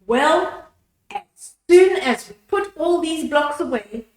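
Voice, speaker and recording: A woman voicing a kindly old grandpa rabbit in a children's storybook, coaxing in a gentle sing-song manner. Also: sits high, lively intonation, takes it slowly, roomy sound, pitched above the performer's own voice. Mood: gently coaxing. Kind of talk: children's storybook read-aloud.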